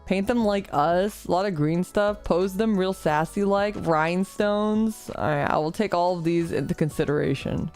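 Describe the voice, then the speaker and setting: A woman's voice: fairly low pitch, moderate pace, few pauses, a little mellow, soft background music. A woman streaming live, chatting casually and reading out her chat, slightly congested from a recent illness and rambling in a self-deprecating way.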